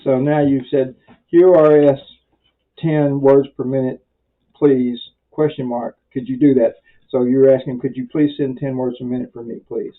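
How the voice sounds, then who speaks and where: A man giving an informal beginner tutorial in a folksy, rambling way, halting often with pauses. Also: medium pitch, little pitch movement. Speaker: amateur radio instructor. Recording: very muffled, dull lo-fi audio.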